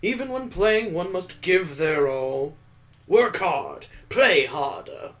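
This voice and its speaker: Visual novel father, read by a man, earnest and grandiose, formal declamatory delivery, slightly high male voice. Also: animated, slow, a bit bright, heavily acted. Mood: rousing.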